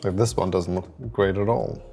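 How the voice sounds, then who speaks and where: A man with a fairly low voice records a casual developer vlog. He thinks aloud, rambling and hesitating as he goes, with faint music in the background. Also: fairly even intonation, moderate pace, vocal fry, dark tone. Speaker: app developer, vlog host.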